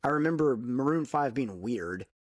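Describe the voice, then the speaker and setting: A man's voice: mid-range voice, lively intonation, moderate pace, dry recording, clean studio sound. Rambling young man in casual chat. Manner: rambling, filler-heavy, frequent restarts. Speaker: podcast host.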